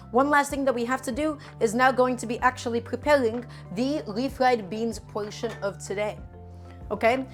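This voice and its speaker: A woman whose first language is Russian, casually demonstrating a recipe in her home kitchen on a live stream and chatting with viewers as she works. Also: fairly high pitch. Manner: chatty, answers viewer chat while cooking. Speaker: cooking streamer.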